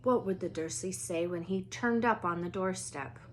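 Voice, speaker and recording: A woman storyteller reading a children's novel aloud in an expressive narrating style. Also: on the low side, normal speed, a little hiss or hum.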